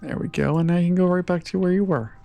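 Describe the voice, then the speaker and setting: Hoarse man narrating his gameplay. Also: high-pitched, quick pace, dark tone, soft background music. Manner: chatty, talks to his game units. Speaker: gaming channel host. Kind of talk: casual gameplay commentary.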